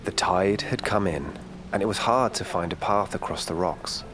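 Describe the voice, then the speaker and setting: A man reading a children's bedtime story in a calm, gentle storytelling manner. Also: low voice, moderate pace, over music.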